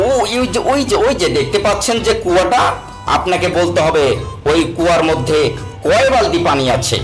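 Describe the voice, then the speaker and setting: A man's voice: medium pitch, lightly acted. Male actor as boastful village judge, pompous declaiming, scheming, cartoon dialogue, light background music. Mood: grudging, scheming. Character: boastful village judge, self-styled wise man, scheming. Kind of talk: cartoon character acting.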